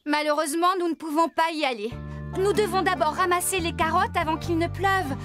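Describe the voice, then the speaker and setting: A very high-pitched lady farmer from a children's cartoon. She is brisk and responsible, kindly but firm, and music runs behind her.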